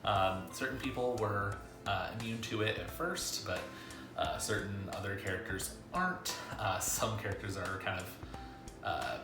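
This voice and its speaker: A man hosting a casual comic book review vlog, talking haltingly with pauses mid-sentence and wry, self-aware asides. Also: on the low side. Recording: echoey room, music underneath.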